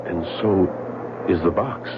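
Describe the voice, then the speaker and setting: An actor playing an earnest, loyal gentleman husband in a radio drama, often stammering as he talks. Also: low-pitched, takes it slowly. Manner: earnest, flustered, stammering restarts. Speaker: husband investigating a mystery. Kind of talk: 1970s radio drama acting. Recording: muffled, hissy old radio broadcast.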